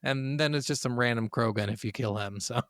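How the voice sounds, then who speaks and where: A man's voice: medium pitch, brisk, a bit bright, dry recording, studio quality. A man co-hosting a gaming podcast, telling stories informally and swearing freely. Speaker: podcast co-host. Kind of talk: casual gaming podcast chat.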